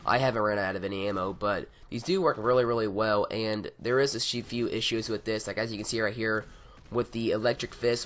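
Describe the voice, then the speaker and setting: A man with a raspy, hoarse voice from being sick, casually reviewing game mods with a rambling, filler-heavy delivery. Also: lowish voice, fairly bright tone. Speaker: gaming YouTuber. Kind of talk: casual mod review over gameplay.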